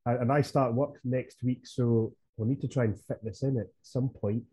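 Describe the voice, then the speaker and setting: Dark husky Scottish man chatting. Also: medium pitch, steady tone, normal speed. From Scotland. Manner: rambling, chatty, laughs easily. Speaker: podcast co-host. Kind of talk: casual chat.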